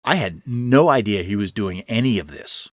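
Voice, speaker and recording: A man's voice: lowish voice, animated, moderate pace, no echo, clean studio sound, close to an everyday voice. A man playing an anxious fellow who protests his innocence in a horror audio story. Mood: defensive shouting. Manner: anxious, hesitant, calling out. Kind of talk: horror audio fiction reading.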